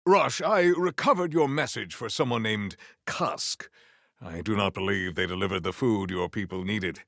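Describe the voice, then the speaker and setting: Game warrior-poet: battle-eager, lofty formal diction, gravelly edge, very dry close sound. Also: male voice, lowish voice, moderate pace, fairly bright tone, no room sound. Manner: grandiose, formal, theatrical diction. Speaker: video game voice actor. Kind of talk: scripted game character lines.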